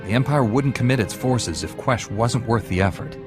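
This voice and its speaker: A man's voice: mid-range voice, moderate pace, music in the background, close to an everyday voice. An actor voicing a principled male Jedi knight in a video game, dry and level in tone. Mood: steady. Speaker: player character.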